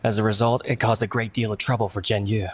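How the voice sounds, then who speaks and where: A man voicing an earnest young book editor in a video game, polite, measured and contrite. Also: lowish voice, little pitch movement, a little hiss or hum, close to an everyday voice. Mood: apologetic, explaining.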